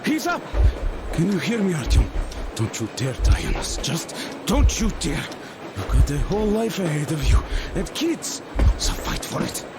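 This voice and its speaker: Desperate loyal soldier, high urgent voice jumping around, loud noise behind. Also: male voice, wildly swooping pitch, measured pace. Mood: urgent, pleading. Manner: urgent, pleading. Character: desperate, loyal soldier pleading with a wounded comrade.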